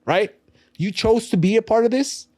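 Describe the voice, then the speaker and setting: A man's voice: sits high, normal speed, darkish tone, dry recording, studio quality. A man in his thirties with a New York accent, co-hosting a podcast in casual, emphatic, slangy chat. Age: 30s. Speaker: podcast co-host. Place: podcast studio.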